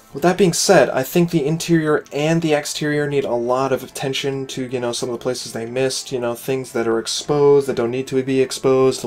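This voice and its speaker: A tired man who is losing his voice, giving casual, rambling gameplay commentary as he critiques a player-made space station, critical but encouraging. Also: mid-range voice. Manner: rambling, with frequent "you know" and "uh" fillers. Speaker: game station reviewer.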